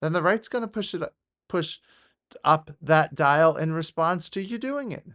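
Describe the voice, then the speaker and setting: An American man giving off-the-cuff political commentary in a halting, repetitive, exasperated way. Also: a little high, lively intonation, fairly bright tone.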